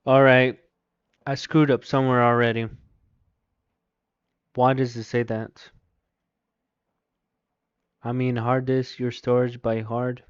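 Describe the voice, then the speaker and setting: A man with a Mexican accent talks slowly through a livestream, muttering to himself as he works and reading chat lines aloud. His voice is smooth and clear. It is recorded dry and a little dull. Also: mid-range voice, flat delivery, no room sound. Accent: Mexican Spanish. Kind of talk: casual live commentary while installing software.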